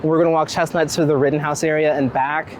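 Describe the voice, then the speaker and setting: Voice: medium pitch, level tone, quick pace. Wry American street photographer, traffic behind. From Philadelphia, United States. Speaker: street photographer being interviewed. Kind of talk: casual chat, walking interview. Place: outdoors on city streets. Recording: outdoor city street noise, traffic. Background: street ambience, passing vehicles.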